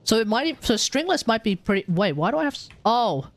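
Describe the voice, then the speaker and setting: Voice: medium pitch, big pitch swings, fairly quick, dry recording. A live streamer giving casual gaming commentary, thinking aloud and talking to the chat.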